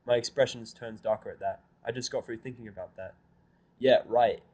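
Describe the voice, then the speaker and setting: A man reading a fan fiction story aloud in the first person, at an average pitch for a man. His narration is dry and a bit irritable. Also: steady tone, fast, a little mellow. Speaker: reader.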